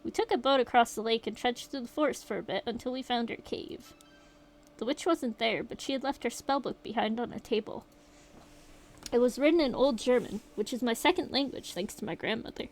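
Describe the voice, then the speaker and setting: A woman voicing a shaken young survivor, reading the account aloud in a steady, rueful way. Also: on the high side, brisk, natural voice. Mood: grim retelling. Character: shaken young survivor recounting a horror night.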